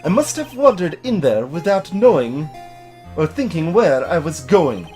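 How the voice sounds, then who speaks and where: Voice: medium pitch, big pitch swings, a little mellow, soft background music. A performer voicing a vengeful, unhinged old male prosecutor in a video game, seething and theatrical. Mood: grim, gloating. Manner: theatrical, seething, dramatic drawn-out delivery.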